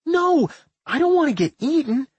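A narrator voicing an excitable, outspoken human girl in a fantasy audiobook, expressive and dramatic. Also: mid-range voice, measured pace, breathy edge, no room sound, highs cut off, pitched above the performer's own voice, full character voice. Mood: horrified.

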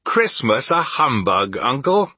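A man voicing a cheerful, warm-hearted young nephew in a storybook reading, earnest and good-natured in manner. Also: mid-range voice, sing-song, slow pace, husky, no room sound, voice pushed up, full character voice. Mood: cheerful, teasing.

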